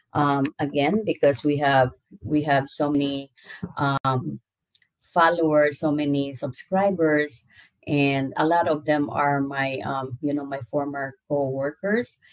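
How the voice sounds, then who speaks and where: A woman's voice: sits low, rough recording. A woman with a Visayan accent hosting a casual livestream, chatting with viewers and greeting them by name. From Visayas, Philippines. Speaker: livestream host.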